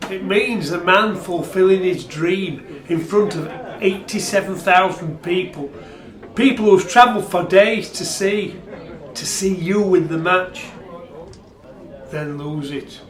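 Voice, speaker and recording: An actor playing a wry, bleakly obsessed rugby supporter in a radio play. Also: male voice, high-pitched, takes it slowly. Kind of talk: dramatic radio play retelling. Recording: roomy, echoing sound.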